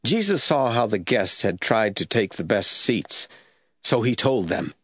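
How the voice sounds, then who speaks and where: A man narrating scripture from a script as the storyteller of an audio drama, with a husky voice. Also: fairly low pitch, lively intonation, measured pace, fairly bright tone, no echo, clean studio sound.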